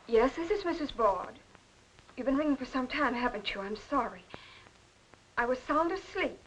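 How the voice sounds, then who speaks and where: An actress playing a composed but bitter estranged wife in a 1940s crime film, formal and emphatic. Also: female voice, high-pitched, expressive, moderate pace, fairly bright tone, slight background noise, low-quality recording. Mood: startled, composed. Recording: old 1940s film soundtrack.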